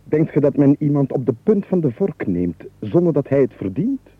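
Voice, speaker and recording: An actor playing a rich, haughty yet amused nobleman in a comic radio play, speaking formally and emphatically with a husky voice. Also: fairly high pitch, very animated, normal speed, dry recording. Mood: amused, exasperated. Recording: muffled narrow-band 1980s radio recording.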